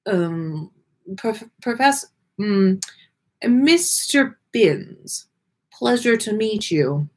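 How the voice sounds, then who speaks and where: Elderly male professor ghost for a storybook, played by a woman: flustered, polite, halting and stammering. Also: mid-range voice, very animated, darkish tone, heavily acted.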